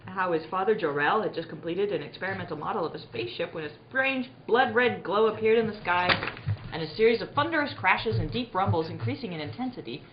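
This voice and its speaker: A woman's voice: mid-range voice, lively intonation, brisk. A woman narrating a scripted 1940s-style radio serial in a theatrical manner. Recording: roomy, slightly dull, faint noise.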